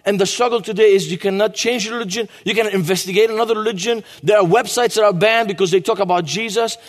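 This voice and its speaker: Guest preacher, man in his 40s, high voice, long church reverb. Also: steady tone, fast. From Lebanon. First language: Arabic. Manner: emphatic, repeats key phrases. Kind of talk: sermon.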